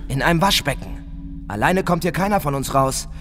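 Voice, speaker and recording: A man playing a practical, urgent sidekick to a ghost hunter in a radio adventure drama, brisk and urging. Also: fairly high pitch, lively intonation, fairly bright tone, faint music behind. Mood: practical, encouraging.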